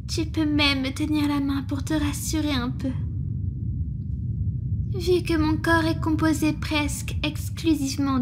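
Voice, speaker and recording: A woman performs a protective water monster girl nurse. The voice sits high and moves slowly, always soothing and attentive. Clear background noise and ambience surround it. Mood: tender, reassuring. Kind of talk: ASMR roleplay.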